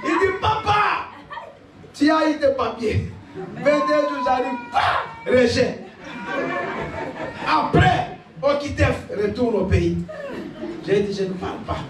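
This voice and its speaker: A male pastor, preaching a sermon, shouting his key points and laughing through his stories. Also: extremely high pitch, animated, takes it slowly, pauses often, crowd noise. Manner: exhorting, laughing asides, shouted declarations. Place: church. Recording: echoey church hall, picked up from a distance. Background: congregation responding.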